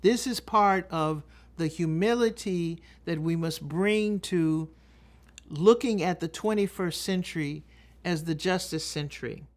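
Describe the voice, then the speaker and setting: Older American female public speaker, slightly low register, measured and emphatic storyteller delivery. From Texas, United States. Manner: deliberate, emphatic, with dramatic pauses. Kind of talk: spoken advocacy talk with storytelling.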